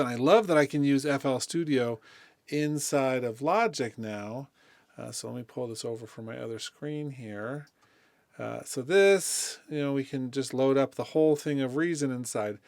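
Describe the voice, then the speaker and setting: Clear, dry-voiced man giving a casual tutorial. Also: medium pitch, no room sound. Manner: informal, thinking aloud while demonstrating. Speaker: music production tutorial presenter. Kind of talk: casual software demonstration.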